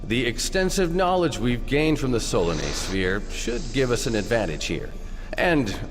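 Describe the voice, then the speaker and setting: Man playing a courteous, animated alien envoy. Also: medium pitch, moderate pace, lightly acted. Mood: courteous, confident. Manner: polite, formal. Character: courteous, self-assured male alien republic ambassador. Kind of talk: scripted video game dialogue. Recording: long hall reverb over music.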